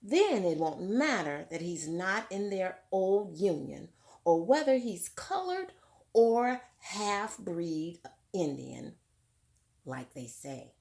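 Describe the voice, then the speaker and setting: A woman's voice: lowish voice, big pitch swings, slow, creaky, darkish tone, full character voice. A woman reading a picture book aloud in the voice of a proud, dreamy eight-year-old girl telling her own story. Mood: determined.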